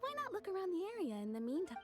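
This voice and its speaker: A courteous tailor minding a shop in an anime game. The voice is high and lilting, attentive and businesslike. Music plays clearly behind it. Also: female voice, big pitch swings, racing. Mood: helpful. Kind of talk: anime video game voice acting.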